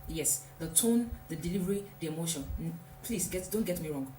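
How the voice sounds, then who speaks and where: A woman's voice: fairly low pitch, expressive, brisk, warm tone, soft background music. A woman giving an earnest, reflective motivational talk and repeating points for emphasis. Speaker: vlogger. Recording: echoey room, faint hum.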